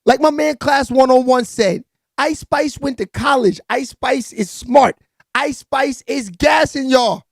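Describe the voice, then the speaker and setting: A man hosts a live hip-hop gossip stream. He talks in a hyped, slangy way and keeps shouting out his viewers. His voice sits close and dry, with no room sound around it. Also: very high-pitched, measured pace, dry recording. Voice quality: raspy. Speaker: live-stream entertainment news host.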